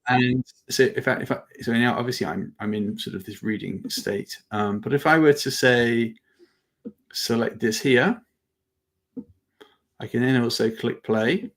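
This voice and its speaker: A man presenting an informal live product demo as a webinar host, with halting phrasing and repeated words. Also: medium pitch, fairly bright tone.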